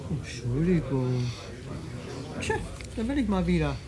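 An actress playing a brisk, defensive country inn waitress in a naturalistic radio drama, with folksy, slightly curt service patter. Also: female voice, sits low, sing-song, a crowd in the background. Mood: casually polite.

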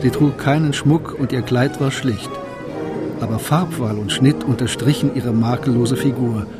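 A man's voice: medium pitch, normal speed, loud music behind the voice, lightly acted. A man narrating a historical court tale in a radio drama, as a composed literary storyteller.

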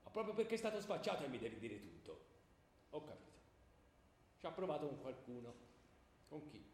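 A man's voice: medium pitch, huge pitch swings, a little fast, a little mellow. A man playing an indulgent, easygoing king of the gods in comic radio theatre, colloquial, wheedling and excusing. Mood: curious. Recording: echoey hall with faint background noise.

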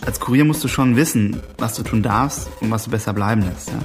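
An actor playing a self-important hacker courier, speaking in a casual, insistent monologue full of jargon and tag questions. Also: male voice, lowish voice, fairly quick, close to an everyday voice. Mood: proud, casual. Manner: colloquial, insistent, tags phrases with "ja?" and "ne?". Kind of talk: casual interview-like monologue in radio play. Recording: music behind the voice.

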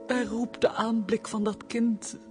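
An actress playing a melancholy, reserved gentlewoman in a period radio play, speaking in a formal, hushed, sorrowful way. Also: a little high, flat delivery, unhurried, over loud music, lightly acted. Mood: anguished.

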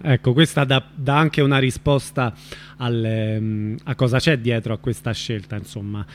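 A man's voice: mid-range voice, steady tone, normal speed, slight background noise. A man hosting a live radio interview in an informal way, often feeling his way through his questions. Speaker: radio show host interviewing film guests.

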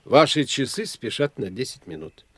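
An actor playing a self-assured, eccentric older doctor of the soul in an audio drama, speaking in a pondering, indulgent, lecturing manner. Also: male voice, mid-range voice, sing-song, normal speed, fairly bright tone, no room sound. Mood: analytical. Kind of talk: theatrical audio drama dialogue.

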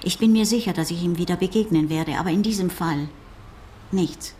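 An actress playing a curious, impulsive oceanographer in a radio crime drama, musing and self-assured in conversation. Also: female voice, lowish voice, fairly quick, mellow, slight background noise, close to an everyday voice. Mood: frank, musing.

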